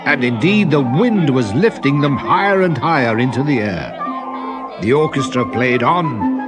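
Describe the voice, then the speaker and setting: A man reads a children's story at a slow, deliberate pace. His intonation rises and falls widely, with dramatic swells and hushes. Music plays clearly behind his voice. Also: medium pitch, expressive. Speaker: storybook narrator. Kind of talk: scripted children's story narration.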